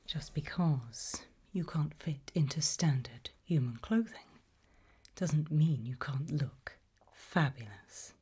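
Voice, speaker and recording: A woman playing a polite, attentive tailor to monsters in an ASMR roleplay, speaking in a soft, breathy, unhurried whisper with a reassuring manner. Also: sits low, expressive, exaggerated voice. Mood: soft, courteous. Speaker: ASMR performer.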